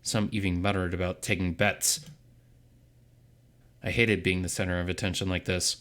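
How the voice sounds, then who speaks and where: A man's voice: low voice, flat delivery, moderate pace, a bit bright. A man reading dramatic first-person story narration from a visual novel script.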